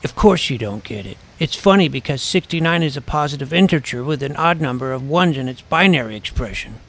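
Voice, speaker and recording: A man playing a deadpan self-important nerd hero. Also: mid-range voice, brisk. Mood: earnest. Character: nerdy middle-school boy narrator posing as a superhero. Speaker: narrator. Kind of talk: scripted audio drama narration.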